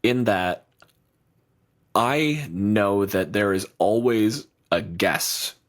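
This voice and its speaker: A man co-hosting a podcast in casual chat, talking in an animated, rambling way with restarts and swearing freely. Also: on the low side, drawn-out words. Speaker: podcast co-host.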